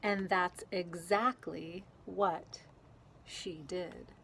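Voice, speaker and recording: A woman reading a children's picture book aloud outdoors in a gentle, deliberate way, pausing between phrases. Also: on the low side, steady tone. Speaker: story reader. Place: outdoors, garden. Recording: clear outdoor background noise.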